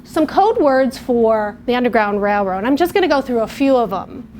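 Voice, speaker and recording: A woman teacher talks to young children in a clear, patient way, her voice sitting a little high. She sounds middle-aged. A faint hiss sits under the recording. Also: big pitch swings, normal speed, darkish tone. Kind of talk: classroom lesson and read-aloud for children. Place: television studio or classroom.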